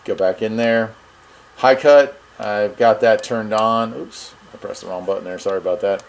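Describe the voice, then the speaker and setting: A man's voice: lowish voice, moderate pace. A man giving a casual, folksy product demonstration, thinking aloud as he goes. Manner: informal, folksy, thinking aloud while operating a device. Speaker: host of a radio review channel. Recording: clear steady background noise.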